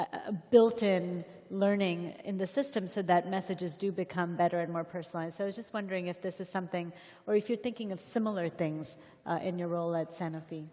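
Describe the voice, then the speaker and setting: A woman's voice: lowish voice, darkish tone. A woman moderating a conference panel in a conversational, somewhat hesitant way. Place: conference hall stage. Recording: reverberant hall, faint audience noise.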